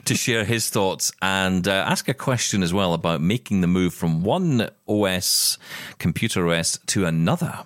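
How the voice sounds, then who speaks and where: Animated male show host, teasing. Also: low-pitched, normal speed, no echo, studio quality. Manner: teasing, jokey banter. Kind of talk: casual chat.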